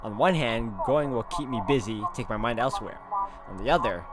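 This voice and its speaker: A man's voice: mid-range voice, expressive, brisk. A man hosting a game walkthrough, reading a visual novel aloud expressively and adding his own commentary. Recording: loud music over voice.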